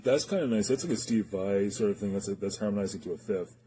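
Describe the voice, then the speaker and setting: A man's voice: on the low side, fast talker, loud music behind the voice. A man giving a casual, unscripted gear demonstration, speaking hesitantly and thinking aloud as he goes. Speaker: gear reviewer demonstrating a guitar effects pedal. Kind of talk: casual, unscripted product demo talk.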